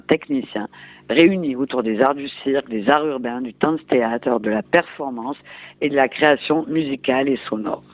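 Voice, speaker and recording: A man's voice: mid-range voice, no room sound. A man reading a scripted promotional announcement for an event, as a radio announcer. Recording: dull band-limited radio sound, dry.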